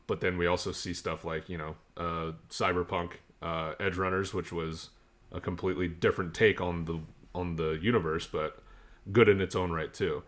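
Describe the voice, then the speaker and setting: A man co-hosting a casual podcast, giving his opinions in a measured, reasoned way. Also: low voice, a bit bright. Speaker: podcast co-host. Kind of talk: casual chat about games and shows.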